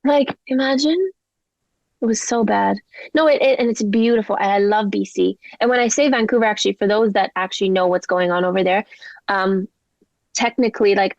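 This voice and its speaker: A young woman speaking with a blended Canadian and English accent, chatting loosely with lots of fillers. Her voice has a gravelly fry to it. The recording is close and dry, with a slightly dull, muffled tone. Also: medium pitch, darkish tone, dry recording. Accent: mixed Canadian and English. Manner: chatty, rambling, lots of likes and ums. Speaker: singer-songwriter guest. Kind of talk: casual interview chat.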